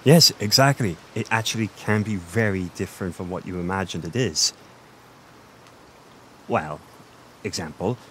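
Man acting flirty low-voiced Irish innkeeper. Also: fairly bright tone, slight background noise, close to an everyday voice. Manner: flirty, teasing, chuckling. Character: flirty Irish male innkeeper, gentle, self-deprecating former adventurer. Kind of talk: roleplay audio acting, intimate and conversational.

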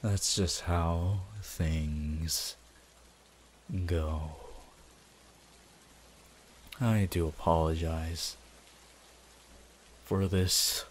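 Man as slow, menacing anime villain hypnotist. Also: sits low, fairly even intonation, slight background noise. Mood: coldly mock-apologetic. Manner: drawn-out, deliberate phrasing, mock-polite. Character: calm, menacing young anime villain hypnotist, coldly controlling. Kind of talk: ASMR villain roleplay.

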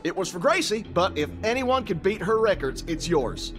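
A man's voice: sits high, big pitch swings, normal speed, music in the background. A man playing a folksy, exuberant bereaved father, hosting a party in a video game. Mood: forced cheer. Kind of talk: video game character acting.

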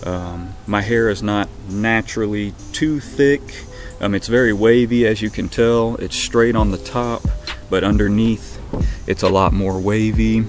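A young man with a Southern accent giving a casual, relaxed update to camera from his backyard, with lots of ums and uhs. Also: lowish voice, measured pace, faint music behind. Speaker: vlogger. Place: backyard outdoors. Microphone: webcam at arm's length.

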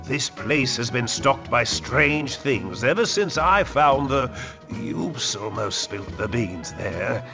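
Fussy, formal game gentleman, theatrical and slow, music underneath. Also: mid-range voice, expressive. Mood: grave, evasive. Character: fussy male gentleman employer with secrets, cartoon game. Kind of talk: animated video game character acting.

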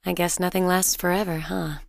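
A woman's voice: lowish voice, level tone, a little fast, gravelly, no echo, studio quality. An actress voicing a caring, exasperated wife and mother in a video game, speaking expressively and earnestly. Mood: gentle, earnest.

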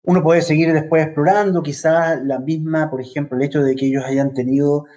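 A middle-aged man answers interview questions reflectively and haltingly, speaking over a video call with earphones. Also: on the high side, fairly even intonation, mellow. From Venezuela and Chile. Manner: reflective, halting, often adds "¿ya?" tags. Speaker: interview guest, academic researcher. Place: plain office, indoors. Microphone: wired earphones on a video call.

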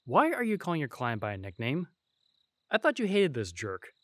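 A man's voice: medium pitch, huge pitch swings, moderate pace, dry recording. A man playing a skeptical veteran bodyguard and exasperated friend in an audio roleplay, blunt and incredulous.

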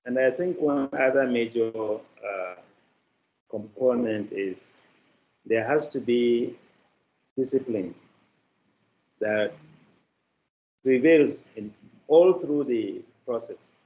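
An older man with a Nigerian accent, answering as an interview guest in a deliberate, halting, formal way over a muffled video call. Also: mid-range voice, dark tone. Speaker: interview guest, media company representative. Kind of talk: considered interview talk. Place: indoor room, on a video call.

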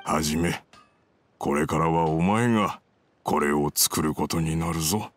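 An actor playing a stern but caring old swordsmith in an anime game, gruff and curt, with an old-fashioned elder's way of speaking. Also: male voice, rumbling low, unhurried, slight background noise. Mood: solemn. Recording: studio voice over game music.

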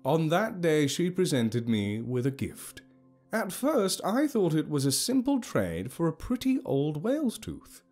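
Storybook elder, played by a male narrator: grand and cheerful, raised pitch, slow ceremonial oratory, music underneath. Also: huge pitch swings, a little mellow, heavily acted. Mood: reminiscing, grand. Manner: slow, oratorical, emphatic delivery. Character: grand, cheerful old leader addressing his gathered tribe.

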